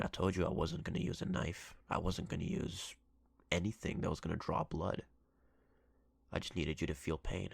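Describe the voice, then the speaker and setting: A man playing a menacing, possessive yandere ex-boyfriend in an ASMR roleplay, with a gravelly, breathy voice and a deliberate, threatening delivery. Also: deep voice, no echo, clean studio sound, exaggerated voice. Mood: controlled cruelty. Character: menacing obsessive yandere ex-boyfriend, a jealous captor.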